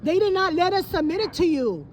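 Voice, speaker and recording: A woman's voice: extremely high pitch, very animated, fairly quick. A woman in a heated phone conversation, insistent and emphatic, often repeating herself for weight. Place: at a public library. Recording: faint background noise.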